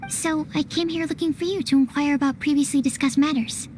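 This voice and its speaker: A woman's voice: high-pitched, steady tone, fairly quick, noisy background, lightly acted. A woman playing a shy, earnest young female researcher in a video game, speaking in a hesitant, precise and formal manner. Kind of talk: animated game voice acting.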